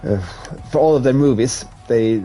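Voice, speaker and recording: A man's voice: medium pitch, moderate pace, faint music behind. A young man from Sweden with a Swedish accent, chatting casually with viewers as a streamer while playing a racing game. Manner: relaxed, rambling, with restarts while playing. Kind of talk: casual chat while gaming live.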